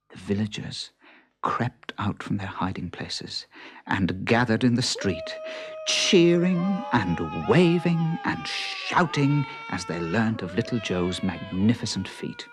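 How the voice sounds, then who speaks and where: A man narrating a children's story in a dramatic, suspenseful way. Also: on the low side.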